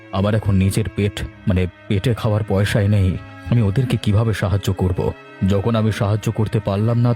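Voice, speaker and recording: A man's voice: fairly low pitch, warm tone, lightly acted. A man voicing a guilt-ridden, poor village brother in a cartoon, subdued and regretful. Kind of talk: cartoon dubbing.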